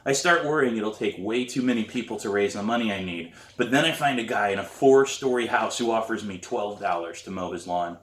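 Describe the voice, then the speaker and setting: A man, an author, reading his novel aloud in expressive narration. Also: on the low side, a little fast, hardly any pauses, reverberant. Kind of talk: expressive audiobook-style narration.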